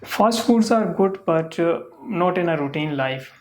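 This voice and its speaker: A young adult from Pakistan, whose first language is Urdu, answers exam questions in Pakistani-accented English. The replies come haltingly, padded with uh and um and built from simple phrasing, at a middling pitch. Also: expressive. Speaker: test candidate.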